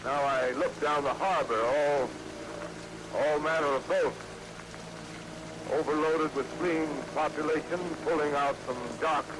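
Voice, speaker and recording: A man's voice: on the high side, steady tone, natural voice. A man playing a tense rooftop radio reporter. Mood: urgent. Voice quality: urgent, halting. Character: male radio reporter watching an invasion, tense. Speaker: field reporter. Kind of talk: 1930s radio drama. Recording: hissy old radio recording.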